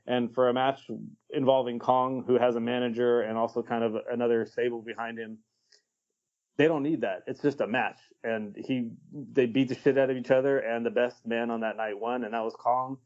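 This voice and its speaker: A man's voice: mid-range voice, normal speed. Blunt, casual man on a muffled podcast. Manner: relaxed, blunt, swears casually. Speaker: podcast co-host. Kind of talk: casual podcast chat giving opinions.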